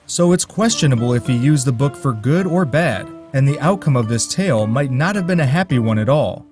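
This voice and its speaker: A man's voice: medium pitch, expressive, fairly quick, darkish tone, soft background music. A man narrating a folk tale in a dry, wry way, with asides to his listeners. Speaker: storyteller.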